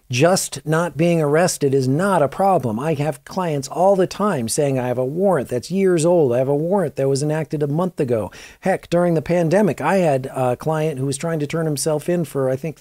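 A man's voice: on the high side, dark tone, no echo, clean studio sound. A man in his forties, an attorney, giving conversational legal commentary to camera from his law office and asking viewers what they think. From Southeast Virginia. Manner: explanatory, asks viewers questions, conversational. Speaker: attorney and crime news host. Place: law office with desk lamp and bookshelves.

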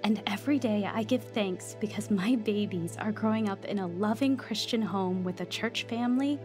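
Young woman, acted, earnest testimony narration, audio drama, measured delivery, medium pitch, clear music bed. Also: lightly acted. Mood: grateful, assured. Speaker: lead actress and first-person narrator. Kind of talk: scripted radio drama narration.

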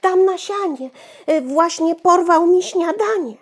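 A woman's voice: very high voice, measured pace, voice pushed up. A woman voicing a boastful, cocky little boy in an exclamatory storybook style. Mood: panicked. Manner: bragging, exclamatory.

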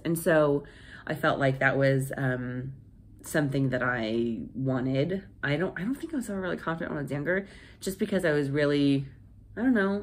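A woman in her thirties chatting casually to camera in a home office, rambling with lots of fillers and restarts, sounding nasal from a cold. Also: low-pitched. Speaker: vlogger. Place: small home office indoors. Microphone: phone camera at desk.

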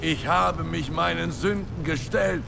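A man's voice: on the high side, measured pace, gravelly, noisy background. A man playing an intense, solemn crusader warrior and determined lover in a dubbed video game. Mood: pleading resolve. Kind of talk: dramatic video game dubbing. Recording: loud music over voice.

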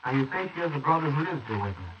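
A man's voice: mid-range voice, sing-song, rapid-fire, close to an everyday voice. Brief: impatient detective sergeant, clipped, played by a man, dull hissy 1940s wire recording. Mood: brisk. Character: brisk, curt police detective sergeant. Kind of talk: 1940s radio acting.

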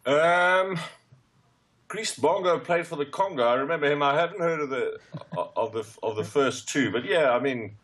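A man's voice: fairly high pitch, moderate pace, fairly bright tone. A man speaking as a football expert on a radio show, hesitant and thinking aloud. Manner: hesitant, many fillers and restarts. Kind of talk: radio discussion.

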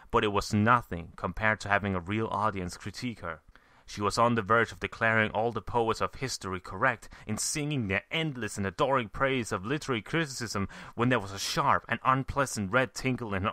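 Guy reading fan fiction as narrator, bright tense voice, very dry close sound. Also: mid-range voice, no room sound. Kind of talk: scripted story narration.

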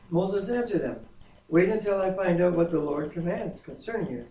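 An older man with a tenor voice, co-hosting a home Bible reading and singing a hymn at a keyboard. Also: high-pitched. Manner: sings slowly while playing keyboard. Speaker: co-host reading scripture. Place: wood-paneled home dining room, indoors. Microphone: far camera. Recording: roomy, distant, dull home video.

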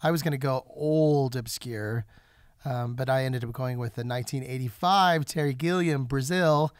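A man hosting a podcast interview on location, chatting in a relaxed, teasing way. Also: mid-range voice, normal speed, clear, resonant tone, no room sound, studio quality. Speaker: podcast host. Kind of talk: casual interview chat. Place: guest's studio, on location.

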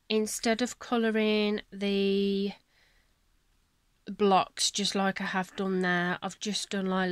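A woman hosting a colouring channel, chatting casually at her table at home, her voice croaky from a cold and her talk apologetic and rambling. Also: medium pitch, no echo. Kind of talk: casual chat showing colouring books. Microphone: camera on a selfie stick above the table.

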